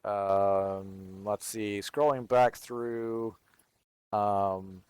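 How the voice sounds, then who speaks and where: A man's voice: fairly low pitch, flat delivery, drawn-out words, a little mellow, clear, resonant tone, dry recording, studio quality. A man from Texas with no regional accent, hosting a livestream and answering viewer questions in casual, think-aloud chat.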